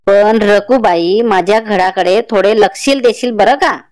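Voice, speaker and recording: A kindly old village grandmother, acted out by a woman for a cartoon folk tale. She speaks very slowly, stretching her words in a coaxing, shrewd way. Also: mid-range voice, darkish tone, no echo, clean studio sound, lightly acted. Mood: fond, homely. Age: older. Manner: slow, drawn-out, coaxing.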